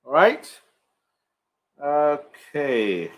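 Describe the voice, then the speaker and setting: A man's voice: on the high side, sing-song, extremely slow. A middle-aged man hosting a live cooking stream, chatting loosely as he sells a kitchen appliance in front of a stand mic. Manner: chatty, loose sales talk with slips in grammar. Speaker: host of a live cooking show selling an air fryer. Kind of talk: live-stream product demo chat. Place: home kitchen set with a product backdrop, indoors. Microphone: stand mic in front, framed from the waist up.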